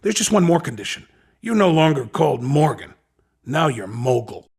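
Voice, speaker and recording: A man voicing a slick, pushy underground race recruiter in a video game, with a raspy voice and cocky, insinuating salesman patter. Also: fairly high pitch, very animated, normal speed, darkish tone, full character voice. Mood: sly, threatening. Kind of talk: video game character dialogue.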